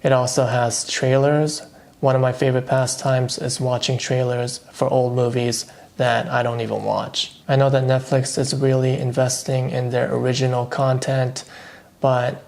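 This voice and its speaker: A man talking casually and thoughtfully on his own channel, giving low-key opinions with dry asides. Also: little pitch movement, moderate pace, creaky, darkish tone. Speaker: vlogger reviewing streaming shows. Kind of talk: casual solo commentary.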